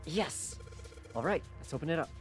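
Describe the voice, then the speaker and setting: A man playing a game and commentating casually, swearing and getting excitable as he goes. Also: fairly high pitch, normal speed. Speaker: streamer, main player. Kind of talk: casual gameplay commentary and dramatic read-aloud. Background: background music.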